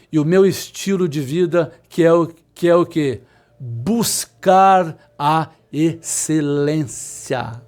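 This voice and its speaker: A man in his fifties with a fairly high voice, coaching electronics students. He speaks insistently and repeats each point, slowing down to stress key words. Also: darkish tone. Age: 50s. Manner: repetitive, emphatic, insistent, with sudden slow stressed words. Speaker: electronics instructor. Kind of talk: motivational talk to students.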